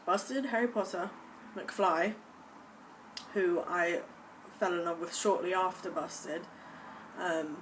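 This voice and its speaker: A woman's voice: on the low side, fairly even intonation, takes it slowly. A young American woman vlogging casually to camera in a put-on British accent, gushing with enthusiasm about things she loves. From United States. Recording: voice a bit distant from the mic, faint background noise.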